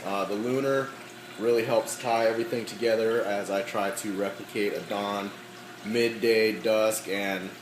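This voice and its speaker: A man walking through his aquarium equipment in a casual, halting way, with many ums and frequent throat clearing, his voice slightly hoarse. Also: lowish voice, reverberant, a little hiss or hum, low-quality recording. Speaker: aquarium hobbyist.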